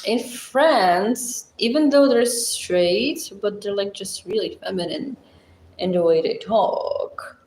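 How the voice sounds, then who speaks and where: A Moroccan woman with a Moroccan accent, hosting a casual livestream with a blunt, chatty, deep-voiced way of talking and frequent laughs. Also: animated, slow pace, a little mellow, echoey room, a little hiss or hum, low-quality recording. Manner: chatty, blunt, laughs often, thinks aloud. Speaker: livestream host. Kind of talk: casual livestream chat reading comments.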